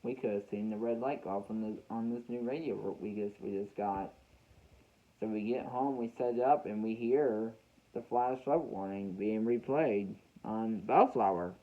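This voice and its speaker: A man's voice: lowish voice, mellow. A young American man telling a story casually to his viewers, unscripted, often repeating and rephrasing his words as he goes. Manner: halting, repeats and rephrases phrases. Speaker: weather radio hobbyist vlogger. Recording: dull, muffled lo-fi home recording.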